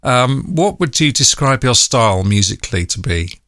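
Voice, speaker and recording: A man with a North London accent asks questions in a friendly, halting way, often pausing on "um" and "uh". His voice sits at a middle pitch. The sound is dry and close, with little room around it. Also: big pitch swings, a bit bright, dry recording. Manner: friendly, halting, lots of ums. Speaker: podcast host. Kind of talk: casual interview.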